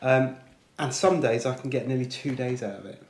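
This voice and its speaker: A man's voice: medium pitch, normal speed. A man presenting a casual product unboxing, chatting informally with British slang. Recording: echoey room, faint background noise.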